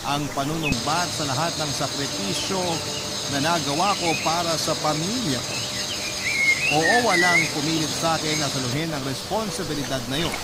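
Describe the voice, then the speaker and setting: A man reading a first-person letter aloud as a radio drama narrator, telling it with emotion. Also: on the high side. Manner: emotional storytelling. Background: music.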